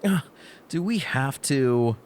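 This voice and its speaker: A man's voice: mid-range voice, lively intonation, slow pace, a little mellow, slight background noise. A man playing a skeptical, pedantic, reluctant detective's sidekick in a comedic audio drama, wordy and exasperated.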